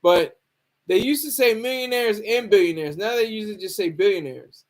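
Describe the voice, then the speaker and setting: Male streamer, high voice, casual unscripted commentary, halting, rambling, repetitive phrasing. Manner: rambling, halting, repeats himself. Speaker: streamer commenting on hip hop news.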